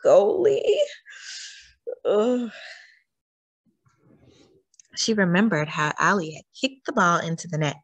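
A woman reading a children's storybook aloud in an expressive, acted-out way. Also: on the low side, fairly quick, hardly any pauses. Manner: expressive, acts out dialogue. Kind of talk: animated scripted storybook narration.

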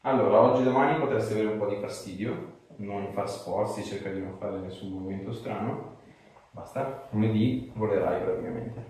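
A man's voice: lowish voice, moderate pace, darkish tone. A man, an osteopath casually giving a patient reassuring, encouraging instructions in a treatment room. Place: small treatment room, indoors. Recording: echoey room, distant mic, faint noise.

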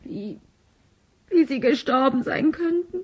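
A woman's voice: high-pitched, lively intonation, takes it slowly, darkish tone. An actress playing a weary, pained, grieving woman in a radio play.

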